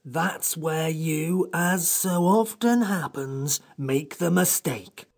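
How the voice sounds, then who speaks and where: A man voicing a grumpy, sarcastic sand fairy creature in an audiobook, with a slow, pompous, withering drawl. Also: on the high side, pitched above the performer's own voice. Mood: withering sarcasm. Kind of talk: audiobook character voice.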